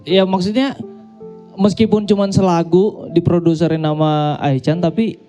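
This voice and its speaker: Male host, high soft-toned voice, informal slangy chat. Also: little pitch movement, normal speed, soft background music. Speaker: talk show host. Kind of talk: casual interview chat.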